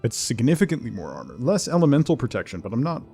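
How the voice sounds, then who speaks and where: A man's voice: mid-range voice, wildly swooping pitch, fast, creaky, dark tone, faint music behind. A man chatting casually as he livestreams a game, muttering asides to himself and his viewers. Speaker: game streamer. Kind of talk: casual livestream gameplay chat.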